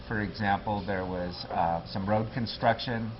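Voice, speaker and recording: A man's voice: lowish voice, steady tone, measured pace, dull audio, slight background noise. A man running for local office, talking earnestly and plainly as a guest on a local TV interview. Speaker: political candidate.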